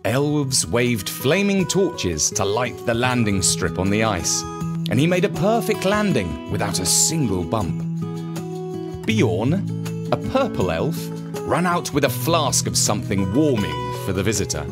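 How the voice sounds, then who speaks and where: A man narrating a children's story from a script in an expressive, measured manner. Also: medium pitch, over loud music.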